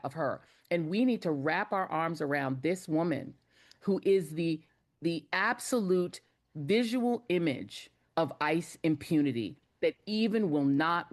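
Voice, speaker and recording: Low-voiced woman, measured and emphatic. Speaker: guest commentator. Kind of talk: political panel discussion.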